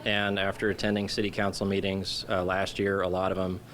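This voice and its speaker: A man running for city council, speaking in a measured, plain-spoken way in a news interview. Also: sits low, monotone, fairly bright tone.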